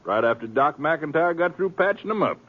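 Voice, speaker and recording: An actor playing a genial, self-assured frontier sheriff with an American Western accent, folksy and breezily dismissive, in old radio drama style. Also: male voice, on the high side, lively intonation, brisk. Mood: genial, admiring. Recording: thin, tinny vintage radio recording.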